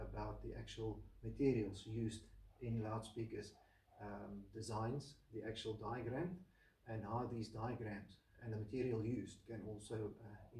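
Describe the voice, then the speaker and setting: A man hosting a casual audio equipment video, speaking to viewers in a halting, deliberate and measured way at home. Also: medium pitch, dark tone. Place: room at home. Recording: roomy echo, distant microphone.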